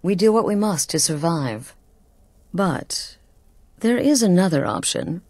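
Low, silky assassin guild leader. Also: female voice, lively intonation, measured pace, a little mellow, no room sound. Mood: instructive, cool. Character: poised, seductive woman leader of an assassins' guild. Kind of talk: video game voice acting.

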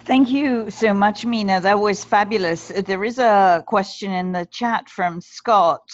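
A woman moderates a webinar Q&A with polite, gracious phrasing. Her voice is mid-pitched and comes through dry and muffled over the call. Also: a little slow, no room sound. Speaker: webinar host.

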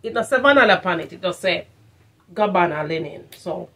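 A woman's voice: fairly low pitch, fairly quick. Jamaican woman chatting casually to camera. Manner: animated patois-flavoured talk, repeats phrases for emphasis. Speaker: vlogger, product reviewer. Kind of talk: casual chat to camera, shopping haul review. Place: furnished room at home, indoors.

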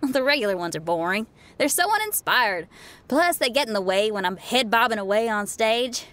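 A woman's voice: a little high, lively intonation, moderate pace, fairly bright tone. An actress playing a spirited young woman musician in a video game, colloquial, self-assured and encouraging. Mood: self-assured, upbeat.

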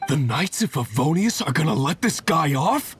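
A man's voice: on the high side, sing-song, moderate pace, a little hiss or hum. An actor playing an indignant, accusing village man in a fantasy game, theatrical and scornful.

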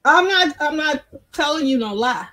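A woman's voice: high voice, animated, moderate pace, bright, forceful tone. A woman host on a video call, reading headlines aloud and debating bluntly.

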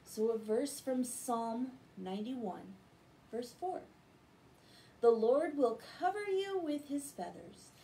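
A woman's voice: on the high side, expressive, slow pace, dark tone, slight background noise, poor audio. A middle-aged American woman reading and talking to children in a bedtime-story style, recorded at home on a device a short way off. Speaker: children's Bible story reader. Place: living room at home, indoors.

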